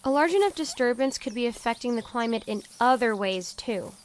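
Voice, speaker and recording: A woman's voice: on the high side, animated, fairly quick, natural voice. A woman playing a naive, curious young woman fresh from an underground vault, earnest and chatty, in an audio drama. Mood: puzzled.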